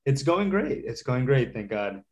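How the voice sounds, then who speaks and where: A man's voice: mid-range voice, big pitch swings, unhurried, a little mellow. A man from Latvia, a guest in a casual interview, talking thoughtfully with many fillers and restarts.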